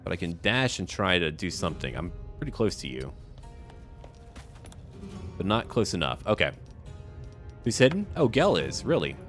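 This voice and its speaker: A man with a fairly low voice narrates his video game in a casual way. His pitch moves around a lot as he thinks aloud and talks to the characters, with game music clearly playing behind him. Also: very animated, normal speed, pauses often, a bit bright. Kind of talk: casual let's-play commentary.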